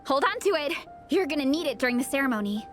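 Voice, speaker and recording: Game casting: devoted young fangirl, earnest and enthusiastic, very high animated voice, music underneath. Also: fast. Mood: eager. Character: eager, earnest young girl, devoted storybook fan and club founder. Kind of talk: video game voice acting. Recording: music behind the voice.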